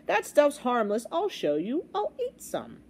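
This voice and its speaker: A woman's voice: sits high, huge pitch swings, measured pace, husky, pitched above the performer's own voice, exaggerated voice. Woman putting on a vain, lilting guard captain. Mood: boastful. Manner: breezy, boastful. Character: smug, jovial, vain male captain of the guards.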